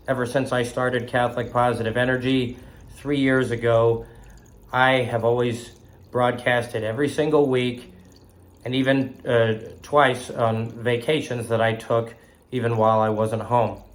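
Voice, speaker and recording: A man in his thirties from Southern California, hosting a faith broadcast while recovering from illness. He talks slowly and haltingly, with fillers and a slight cough. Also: mid-range voice. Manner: slow, halting, with frequent fillers and throat clearing. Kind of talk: casual spoken tribute.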